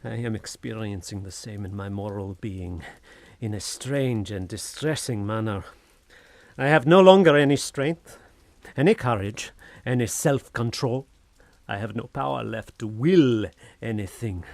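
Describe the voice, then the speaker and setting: A man's voice: on the low side, a little slow, vocal fry, a little mellow, no room sound. An actor playing a tormented, feverish Frenchman slipping into madness in an audio horror drama, with brooding, formal, theatrical diction. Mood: despairing, weary.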